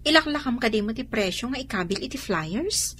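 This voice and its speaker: An animated, high-voiced Filipino co-worker. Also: female voice, brisk, a little hiss or hum. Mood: doubtful. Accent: Filipino, Ilocano. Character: cautious, doubtful female shop co-worker. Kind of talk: radio drama acting.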